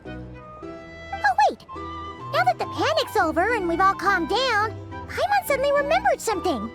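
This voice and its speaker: A woman voicing a tiny, excitable childlike fairy companion in a video game, squeaky and chirpy. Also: moderate pace, loud music behind the voice, heavily acted. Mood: excited, indignant. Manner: excitable, refers to self in third person. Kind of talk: video game cutscene acting.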